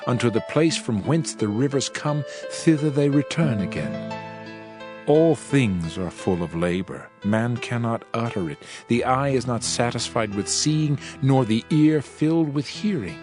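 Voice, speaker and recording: A man plays a weary old-world king turned preacher, reciting scripture in a solemn, measured, oratorical way. His voice sits at a medium pitch. Music plays clearly behind him throughout the dramatized audio reading. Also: lively intonation, lightly acted. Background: background music.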